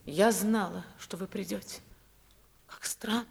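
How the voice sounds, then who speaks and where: An actress playing a resolute, impassioned upper-class young wife in a theatrical radio play, with deliberate, emotive diction. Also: female voice, mid-range voice, fairly even intonation, gravelly, slightly rough. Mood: wry, bitter. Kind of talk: theatrical Russian radio drama acting.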